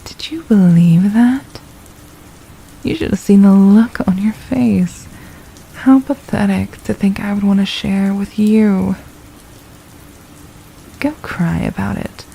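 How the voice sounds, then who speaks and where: A woman playing a possessive, condescending royal villain in an ASMR roleplay, speaking in a soft, silky, deliberate murmur with menace and mockery. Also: medium pitch, fairly even intonation, creaky, slight background noise, low-quality recording. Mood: mocking, gloating. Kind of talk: ASMR roleplay, intimate scripted monologue.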